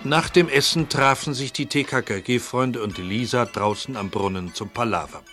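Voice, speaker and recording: A man's voice: mid-range voice, moderate pace, over music, natural voice. A man narrating a German audio drama in a composed, scripted storytelling style.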